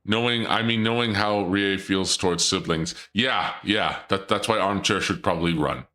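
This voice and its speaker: A young man streaming and chatting casually, rambling and joking in a theatrical dark-lord persona. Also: deep voice, moderate pace.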